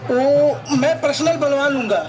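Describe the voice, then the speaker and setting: Male actor as disgruntled village man, extremely high pitch, complaining, puppet-show drama, music underneath. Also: a little slow, poor audio. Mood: aggrieved. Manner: complaining, defensive.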